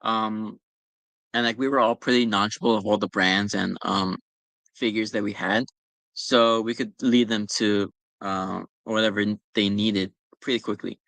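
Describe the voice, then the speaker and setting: A man's voice: on the low side, steady tone, normal speed, a bit bright, no room sound. A young man chatting casually about working at a store, rambling with lots of ums and you-knows. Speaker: former pop-up store worker answering questions. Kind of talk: casual chat.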